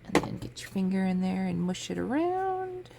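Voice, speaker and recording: A sniffly woman hosting a casual craft tutorial, chatting in a relaxed way as she works at her table. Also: medium pitch, normal speed, creaky. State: sniffly from a cold. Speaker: crafting channel host. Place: home craft table with a fan running. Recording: faint fan noise in background.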